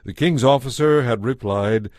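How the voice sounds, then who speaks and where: A man's voice: mid-range voice, moderate pace, darkish tone, no echo, studio quality. A man reading scripted narration for an audio Bible.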